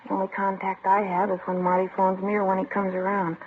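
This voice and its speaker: An American actress playing a matter-of-fact, reluctant confidante in a 1950s radio police drama. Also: female voice, medium pitch, monotone, quick pace, natural voice. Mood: casual, reluctant. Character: chatty, reluctant woman, girlfriend's confidante and go-between. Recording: tinny, noisy old radio broadcast.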